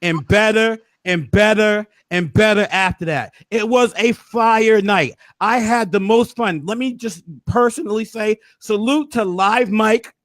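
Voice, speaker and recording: A hoarse man hosting a casual recap, hyping things up with preacher-like flourishes. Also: high-pitched, unhurried, hardly any pauses, a bit bright, no echo. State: hoarse, losing his voice. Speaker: host.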